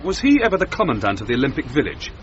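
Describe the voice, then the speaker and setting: An actor playing a mild, courteous English runner in a radio drama, understated and dryly witty. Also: male voice, mid-range voice, very animated, rapid-fire, natural voice. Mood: curious, measured. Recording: muffled lo-fi recording, noisy ambience.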